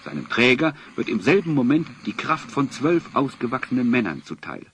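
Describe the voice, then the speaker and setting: A man's voice: mid-range voice, sing-song, normal speed, creaky, husky, heavily acted. A man narrating a fantasy adventure as storyteller of a children's audio drama, in scripted storybook style. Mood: solemn storytelling.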